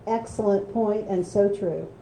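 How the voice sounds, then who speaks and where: Woman moderator, very mellow dark tone, affirming; roomy bookstore acoustics. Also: mid-range voice, a little slow, a little hiss or hum. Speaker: event interviewer. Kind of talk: moderating a book talk. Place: bookstore event space.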